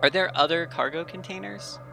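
A man's voice: a little high, fairly quick, vocal fry, sharp tone, soft background music, poor audio. A man chatting casually as a tabletop game player, hesitantly stating his actions.

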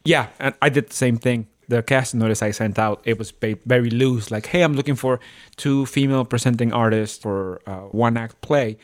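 A man co-hosting a casual podcast, explaining things informally and somewhat haltingly. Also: mid-range voice. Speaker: theater company member and podcast co-host.

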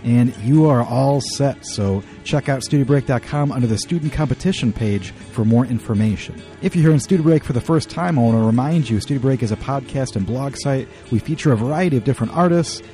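A man's voice: mid-range voice, lively intonation, fairly quick, few pauses, mellow, faint music behind. A man hosting a podcast in a conversational, announcer-like way. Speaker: podcast host.

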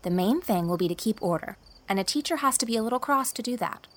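A woman's voice: fairly high pitch, lively intonation, fast, fairly bright tone. A woman voicing a practical young female teacher in an audiobook, matter-of-fact and decided. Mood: brisk, decided. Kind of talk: audiobook character dialogue.

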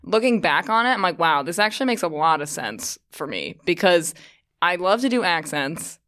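Female comedian guest from the London suburbs, smooth clear voice sitting slightly low, informal chat. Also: big pitch swings, normal speed, fairly bright tone. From grew up outside London, UK. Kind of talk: casual conversational interview.

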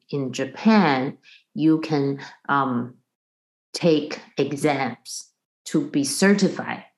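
Low-voiced woman from Taiwan, explaining thoughtfully. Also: a little mellow, poor audio. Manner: thoughtful, explains with examples and restarts. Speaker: guest, percussion professor. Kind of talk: conversational interview.

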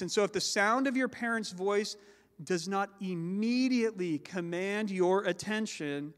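A man, a father, preaching a sermon in a loud, carrying voice, slowing down to stress key words. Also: sits high, clear, resonant tone, in a large hall. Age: adult with children. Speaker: pastor.

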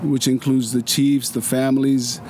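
A man's voice: mid-range voice, little pitch movement, moderate pace, background noise. A man from Samoa, a guest in a casual interview, explaining things patiently with frequent restarts and a dry humor. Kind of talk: casual storytelling conversation.

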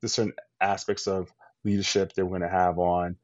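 Excitable man with a low, dull-sounding voice. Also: brisk, no echo. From US East Coast. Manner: enthusiastic, rambling with many fillers and restarts. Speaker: podcast co-host. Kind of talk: casual podcast chat.

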